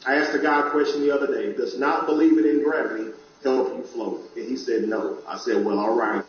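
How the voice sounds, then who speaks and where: A man's voice: medium pitch, fairly even intonation, fairly quick. A man hosting an online talk show, speaking earnestly and conversationally to his viewers. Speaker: show host. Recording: distant echoey lo-fi mic.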